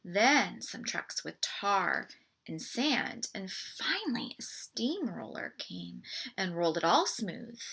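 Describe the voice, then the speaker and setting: A woman reads a picture book aloud for children. Her voice is bright but husky, with a creaky edge. She is expressive and deliberate, as a read-aloud presenter addressing young listeners. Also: medium pitch, rough recording.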